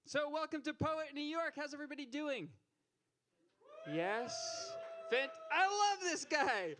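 A man's voice: very high voice, lively intonation, measured pace, background noise. A young man hosting a poetry open mic on a small stage, teasing the crowd with stand-up style banter into a handheld mic. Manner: joking, teasing, rising to loud calls to the stage.

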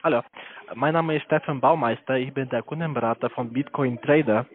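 A man with a foreign accent, not a native speaker, making a hesitant telesales pitch for investments. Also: slight background noise. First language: not German. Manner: halting, many hesitations. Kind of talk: phone sales pitch. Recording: tinny dry phone line.

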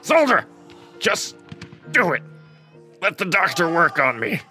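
A man plays an injured army captain in an audio drama. The voice is high and piercingly tense, full of pain and demands, with faint music behind. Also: very animated, slow pace, bright, forceful tone, exaggerated voice. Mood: pained, pleading. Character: wounded male army captain, pained and demanding.

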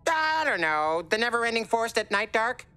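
An actor voicing a timid, hungry cartoon cat sidekick who jokes and frets. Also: female voice, fairly high pitch, very animated, brisk, very noisy. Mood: jittery. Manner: jittery, jokey, snack-obsessed. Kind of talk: cartoon voice acting. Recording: music behind.

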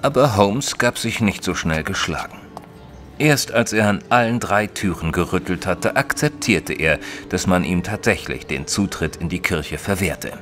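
Deep-voiced, modest detective's companion. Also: male voice, animated. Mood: reflective. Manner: measured, literate storytelling. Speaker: voice actor, narrator in an audio drama. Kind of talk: German audio drama narration and dialogue. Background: music.

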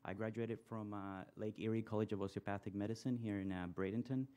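Low-voiced man introducing himself. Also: steady tone, fast, warm tone. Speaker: chief resident and hospitalist. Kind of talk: formal self-introduction.

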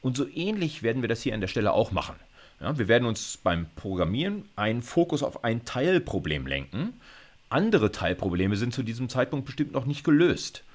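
A man's voice: mid-range voice, very animated, brisk. A man in his fifties giving an explanatory university video lecture in an informal, emphatic, conversational way, often checking in with short tag questions. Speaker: university computer science lecturer.

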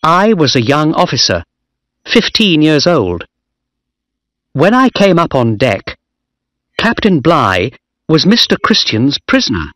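A frightened young naval officer stammers out his answers in a raised, earnest voice, as a male narrator puts him on. Each reply is measured and unhurried, with a very dry, close sound. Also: a little high, wildly swooping pitch, a bit bright, no room sound, voice pushed up, heavily acted. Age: young adult. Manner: earnest, hesitant.

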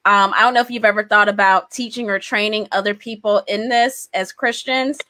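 A woman's voice: medium pitch, fairly even intonation, a little fast, bright, forceful tone, no echo. A woman in her forties talking casually and encouragingly as a guest on a video call, into a desk microphone. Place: small indoor room on a video call. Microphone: large desk microphone, close.

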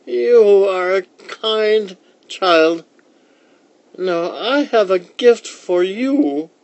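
A man voicing a kindly, mysterious old woman in a children's storybook, with slow, emphatic delivery. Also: sits high, expressive, no echo, voice pushed up, exaggerated voice. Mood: grateful, mysterious.

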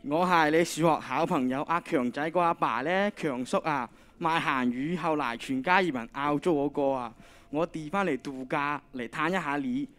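A man's voice: a little high, normal speed, a bit bright, close to an everyday voice. A teenage boy playing a breezy, talkative middle-aged family friend in a radio drama on stage. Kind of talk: Cantonese radio drama acting. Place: on stage in a school drama competition hall.

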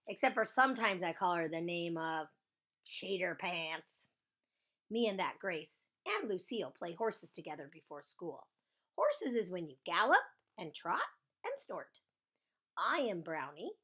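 A woman voicing a chatty, bossy, excitable kindergarten girl who tells her own story in childlike, emphatic speech for a children's audiobook. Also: lowish voice, a little slow, heavily acted. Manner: childlike grammar, emphatic, dramatic. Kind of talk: first-person children's audiobook narration.

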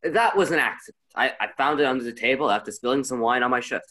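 A young man playing an anxious, defensive gentleman guest in a radio play. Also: mid-range voice, quick pace, natural voice. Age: young adult.

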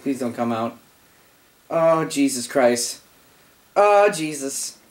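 A host playing a horror game, scared and swearing, with a voice that is wearing out from yelling. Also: mid-range voice, lively intonation, takes it slowly. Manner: frightened, swearing, bursts of yelling at enemies. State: voice giving out. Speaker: gaming channel host. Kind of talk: live let's-play commentary. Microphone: gaming mic.

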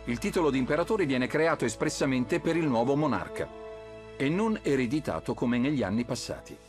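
Male narrator, animated pitch, measured delivery, music bed under the voice-over. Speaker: documentary narrator. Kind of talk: scripted narration.

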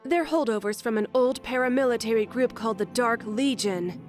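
Woman acting a regal female squirrel queen, fairly high voice, composed, diplomatic, cartoon dub. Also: brisk, soft background music, lightly acted. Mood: serious, explaining.

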